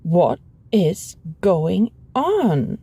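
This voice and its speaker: A woman playing a sullen, possessive animal hybrid roommate in an ASMR roleplay, grumbling in a sardonic, husky voice. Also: on the low side, sing-song, very slow, dark tone, no room sound, exaggerated voice. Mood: sullen, reproachful. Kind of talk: ASMR audio roleplay acting.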